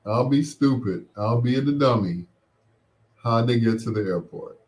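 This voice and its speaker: A man hosting a casual call-in radio show, talking in a wry, exasperated, drawn-out manner. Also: mid-range voice, darkish tone. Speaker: radio talk show host.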